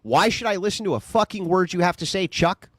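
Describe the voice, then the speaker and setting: A man's voice: sits high, fairly quick, a bit bright, dry recording, studio quality. A man arguing in a heated debate, combative and sarcastic, mocking his opponent. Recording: clip from a recorded debate.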